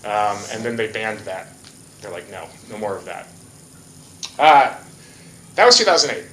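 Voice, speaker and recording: Man, conference speaker, bright voice, casual and joking, roomy hall with faint hiss. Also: fairly low pitch, sing-song, normal speed. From Hawaii. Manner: casual, joking, many fillers. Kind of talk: conference talk. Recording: echoey hall.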